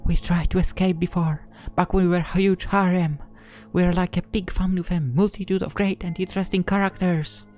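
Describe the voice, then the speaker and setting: Friendly visual novel girl, acted by a woman, lifted voice, eager anime delivery, soft music behind. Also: lowish voice, steady tone, brisk, hardly any pauses, voice pushed up, close to an everyday voice. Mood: hushed, confiding. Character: friendly, food-loving, encouraging high school girl in a visual novel.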